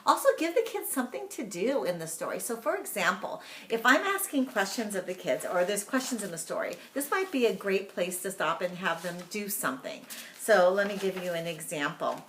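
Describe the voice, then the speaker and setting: A woman speaking as a teacher, giving instructional tips to parents in an animated, emphatic manner. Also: medium pitch, brisk, a little hiss or hum. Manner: animated, emphatic, acts out example questions. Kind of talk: instructional talk to camera. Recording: echoey room sound.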